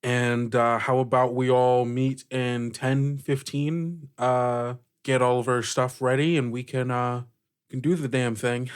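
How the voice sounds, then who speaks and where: A man's voice: medium pitch, steady tone, a little slow, smooth, lightly acted. A man voicing an awkward but agreeable female ship captain in a tabletop game, hesitant and stammering. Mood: hesitant, informal.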